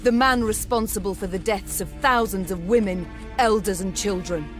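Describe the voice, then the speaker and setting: A woman's voice: medium pitch, normal speed, soft background music, lightly acted. A woman playing a proud, blunt warrior queen in a video game, stern and commanding. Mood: defiant anger. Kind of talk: dramatic video game acting.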